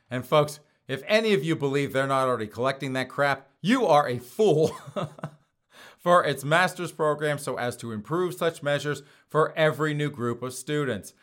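Hoarse, sarcastic male talk host. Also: a little high, fairly bright tone. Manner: sarcastic, laughs often between phrases. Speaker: podcast host. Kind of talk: casual mocking commentary.

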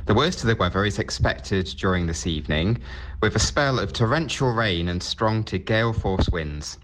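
A man reading a scripted weather forecast in a measured, informative manner. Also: fairly low pitch, expressive, fairly bright tone.

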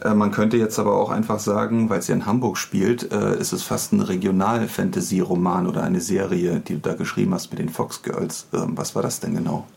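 A man's voice: deep voice, little pitch movement, brisk, gravelly, hardly any pauses, a little mellow, slightly rough. A man hosting a conversational interview, feeling his way through his phrasing with hesitations. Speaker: podcast interviewer, host.

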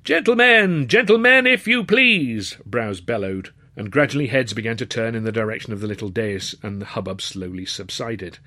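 A man's voice: lowish voice, fairly even intonation, a little fast, few pauses, fairly bright tone, dry recording. Wry male storyteller in a very dry recording. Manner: wry, literary phrasing. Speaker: author and narrator. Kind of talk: scripted first-person comic novel narration.

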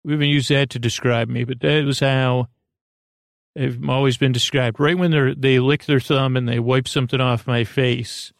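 A man hosting a sleep podcast in a gentle, reassuring, hesitant way, rambling through digressions and half-restarted sentences. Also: mid-range voice, fairly even intonation, moderate pace, creaky, no echo, clean studio sound. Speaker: host of a bedtime sleep podcast. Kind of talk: rambling, meandering monologue to lull listeners to sleep.

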